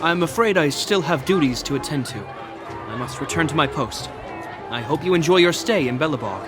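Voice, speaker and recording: A man's voice: a little high, animated, normal speed, music in the background, crowd noise, lightly acted. A man voicing a courteous, dutiful guard officer in a video game, polite and helpful in a formal way. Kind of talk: English video game dub acting.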